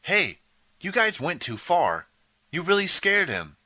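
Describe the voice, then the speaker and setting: A man's voice: huge pitch swings, measured pace, sharp tone, no room sound, full character voice. A man voicing an upset teenage girl who scolds her friends. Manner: high, upset.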